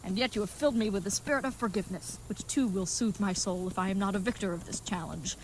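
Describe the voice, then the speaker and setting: A woman's voice: mid-range voice, fast, slight background noise, lightly acted, poor audio. A woman playing an earnest, good-hearted but dim-witted knightly leathersmith in a comedic audio drama, speaking in florid, mock-archaic phrases. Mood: fond, chatty.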